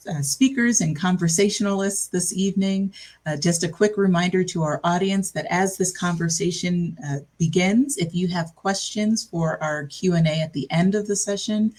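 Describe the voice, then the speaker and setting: Husky low-voiced woman introducing speakers. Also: darkish tone, poor audio. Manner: measured, formal introduction with a few fillers. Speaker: community dialogue facilitator.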